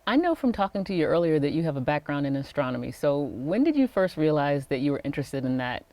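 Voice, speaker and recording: Measured woman interviewer, dry sound. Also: sits low, sing-song, mellow, no echo. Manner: measured and attentive, frequent affirming murmurs. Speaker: interview host, executive coach. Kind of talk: scripted intro and interview questions.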